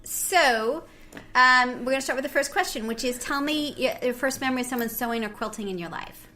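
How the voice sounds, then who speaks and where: A bright, slightly high-voiced woman hosts an informal interview. She talks in a rambling, chatty way. There is noise behind her voice. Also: brisk. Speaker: podcast host. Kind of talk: casual chat interviewing. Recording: clear background noise.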